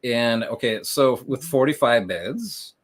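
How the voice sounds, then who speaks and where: A man hosting a livestream, explaining things casually in a meandering way with lots of hesitant filler pauses. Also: medium pitch, lively intonation, crowd noise. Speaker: livestream host and reseller.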